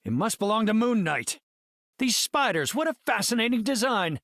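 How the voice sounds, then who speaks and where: An actor playing an earnest middle-aged scientist superhero in a video game, speaking in an articulate, cerebral, heroic way. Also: male voice, sits high, very animated, moderate pace, a bit bright, no echo, studio quality, heavily acted. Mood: assured, analytical. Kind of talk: video game hero voice lines.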